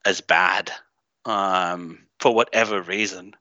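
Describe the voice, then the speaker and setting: A man from Greece with an unusual mixed accent, talking as an interview guest in a halting, emphatic, opinionated way. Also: deep voice, steady tone, bright, forceful tone, dry recording. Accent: unusual mixed foreign-tinged. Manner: halting, emphatic, thinking aloud. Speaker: podcast guest, game writer and designer. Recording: dull, band-limited online audio.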